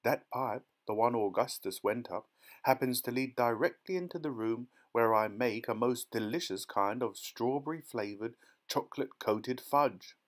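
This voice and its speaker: A man's voice: mid-range voice, moderate pace, lightly acted. A man voicing an eccentric, gleeful chocolate maker in a children's storybook, breezy, emphatic and theatrically reassuring. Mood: emphatic denial.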